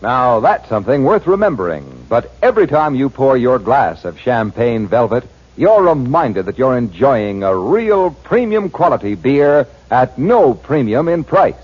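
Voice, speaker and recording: A man's voice: medium pitch, sing-song, measured pace, few pauses. A man announcing in 1940s radio style, reading sponsor copy and story recaps as scripted narration. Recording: dull 1940s radio recording with music.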